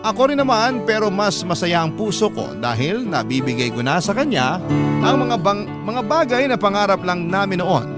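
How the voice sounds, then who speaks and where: A Filipino man with a fairly high-pitched voice narrates a scripted personal story as a radio host. Clear guitar music plays behind him. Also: big pitch swings, normal speed. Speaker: radio drama host reading listeners' letters.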